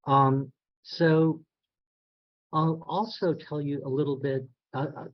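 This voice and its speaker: A soft-spoken man from San Antonio, a federal agency director, giving a keynote full of personal stories in a folksy, halting way. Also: fairly high pitch, fairly even intonation. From San Antonio, Texas. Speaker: guest speaker, federal statistics agency director. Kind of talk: storytelling keynote. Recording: dull lo-fi sound.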